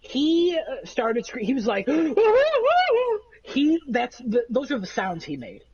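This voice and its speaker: A man with a nasal voice, rambling through animated stories in a casual chat among friends. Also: extremely high pitch, slow, breathy edge, lo-fi sound. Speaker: podcast co-host.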